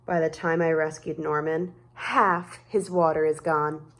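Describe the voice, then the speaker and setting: Slow, expressive woman reading a children's story. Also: deep voice. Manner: deliberate, dramatic emphasis with drawn-out words. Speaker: storybook reader. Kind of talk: expressive children's read-aloud narration.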